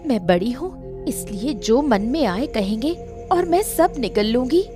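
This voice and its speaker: A woman voicing a long-suffering elder daughter-in-law in an animated story, wounded, resentful and plaintive. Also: on the high side, measured pace, music in the background. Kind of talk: melodramatic animated story acting.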